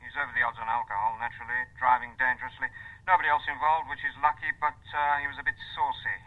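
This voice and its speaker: Quick policeman on a tinny phone. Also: medium pitch, level tone. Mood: matter-of-fact. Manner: casual, clipped, understated. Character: matter-of-fact policeman reporting by telephone. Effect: telephone filter. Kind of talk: radio drama acting. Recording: thin, narrow telephone line.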